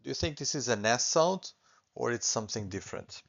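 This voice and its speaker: A man's voice: medium pitch, lively intonation, a little fast, gravelly, muffled recording. Reassuring Portuguese-accented male teacher. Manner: patient, reassuring, repeats points, often adds okay. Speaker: language teacher. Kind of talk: online language lesson.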